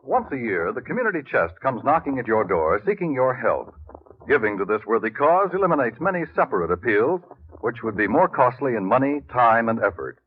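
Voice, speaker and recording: A man with a husky voice reading scripted announcements as a vintage radio announcer. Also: medium pitch, big pitch swings, fairly quick. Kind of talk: 1940s-50s scripted radio announcing and appeal. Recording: tinny, narrow-band old radio recording.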